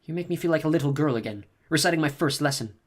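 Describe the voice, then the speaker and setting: A man's voice: a little high, quick pace, voice pushed up, close to an everyday voice. A man voicing a bitter, restrained young mother in an audiobook reading. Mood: strained.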